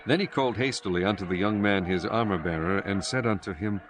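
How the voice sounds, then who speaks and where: A man narrating a dramatized Bible audiobook in measured, solemn storytelling with archaic diction. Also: fairly low pitch, noisy background. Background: prominent music.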